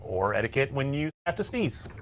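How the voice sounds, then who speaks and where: A man's voice: mid-range voice, sing-song, normal speed, slight background noise. A man co-hosting a workplace tech show, giving semi-scripted tips in a casual, instructional way with some bantering asides.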